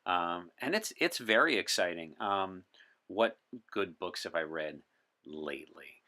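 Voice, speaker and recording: A man's voice: deep voice, lively intonation, measured pace, bright, forceful tone. A man chatting as an interview guest, articulate and opinionated, with a wry streak. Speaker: podcast guest. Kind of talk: in-character interview chat as a 1960s time traveler.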